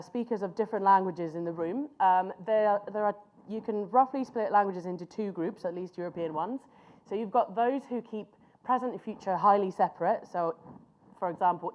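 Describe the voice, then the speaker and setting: A woman's voice: medium pitch, highs cut off. Woman giving a class. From Finland. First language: Finnish. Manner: conversational, pauses to search for words. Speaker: conference speaker. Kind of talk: master class presentation.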